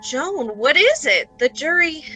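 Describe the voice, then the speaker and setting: Woman playing a bright, urgent, concerned friend. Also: high-pitched, big pitch swings, a little slow, faint music behind, full character voice. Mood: alarmed pleading. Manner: urgent, solicitous, emphatic. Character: concerned, excitable female friend of an accused young woman. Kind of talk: old-time radio drama acting.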